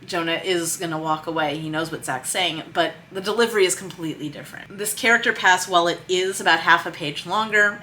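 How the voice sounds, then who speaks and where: A woman's voice: fairly low pitch, pushed and bright. A woman talking casually and emphatically, thinking aloud as she explains her work in a vlog. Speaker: screenwriter and vlog host. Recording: noticeable background noise.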